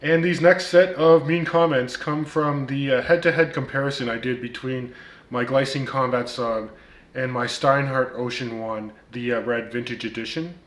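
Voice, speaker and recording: A man with a light Turkish accent talks in a casual vlog and fills his sentences with plenty of ums and uhs. His pitch sits in an ordinary middle range, and he fires back at critics with wry one-liners. The room around him rings with a noticeable echo. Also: steady tone, normal speed, a bit bright. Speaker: watch reviewer, channel host.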